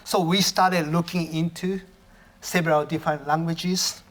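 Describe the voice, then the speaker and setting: A man's voice: on the high side, steady tone, fairly bright tone. Japanese-native man giving an academic lecture. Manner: halting, self-correcting phrasing.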